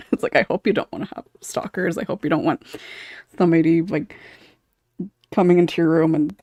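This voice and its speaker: A woman's voice: fairly low pitch, creaky, darkish tone, no echo, clean studio sound. A woman talking casually in a panel discussion, thinking aloud and hedging as she goes. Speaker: podcast panelist.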